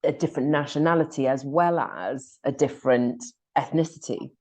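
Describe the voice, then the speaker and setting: A Welsh woman with a strikingly low, deep voice chats frankly on a podcast. She talks in a lively way and drops in quick asides. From Wales. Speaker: podcast co-host. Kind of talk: casual podcast discussion.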